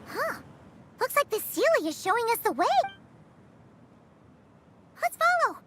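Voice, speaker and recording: Casting: childlike game fairy, squeaky-high and lively, curious temper, music bed. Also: female voice, measured pace, slight background noise, full character voice. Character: small childlike female fairy companion, chirpy and curious. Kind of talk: video game character acting. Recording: music behind the voice.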